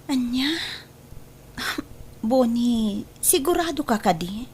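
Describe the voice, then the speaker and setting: A woman's voice: a little high, animated, slow pace, a little mellow. A woman with an Ilocano accent playing a pleading, stubborn young daughter in love in a drama story, with noise behind her. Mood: insistent. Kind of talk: dramatized Ilocano radio-style story acting.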